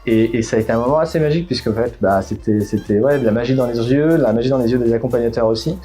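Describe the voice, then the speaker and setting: A middle-aged founder from Bordeaux talks in an interview at a mid pitch, with a warm, mellow tone. He hesitates often, restarting his phrases with plenty of fillers. Faint music plays behind him. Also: very fast. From Bordeaux, France. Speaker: startup founder. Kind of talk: interview answers.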